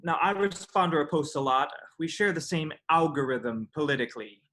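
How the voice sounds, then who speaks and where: A man's voice: a little high, expressive, a little fast, sharp tone. A man acting a defensive, self-justifying character in a live staged reading, explaining earnestly and hesitantly. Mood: indignant, earnest. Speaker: actor in a virtual staged reading. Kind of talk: live staged play reading, conversational monologue. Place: indoors, streamed over video webinar.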